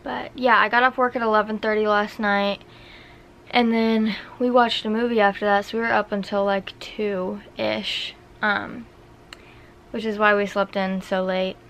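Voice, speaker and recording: A young woman vlogging to camera in her small apartment, chatting in a relaxed way with lots of fillers. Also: mid-range voice, unhurried, slight background noise. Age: young adult. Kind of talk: casual vlog chat to camera.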